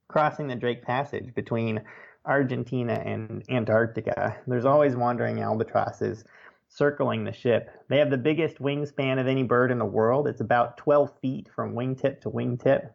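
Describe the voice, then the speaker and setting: An American man speaks as an interview guest in a conversational, storytelling way. His voice has a marked gravelly fry, and the recording is a little dull and lo-fi. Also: medium pitch. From United States, Oregon. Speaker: author and birder, interview guest.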